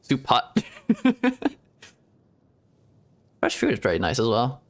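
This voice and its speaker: A man's voice: mid-range voice, wildly swooping pitch, slow pace. A man streaming a game, chatting casually in a playful, giggly, rambling way. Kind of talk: casual gameplay commentary.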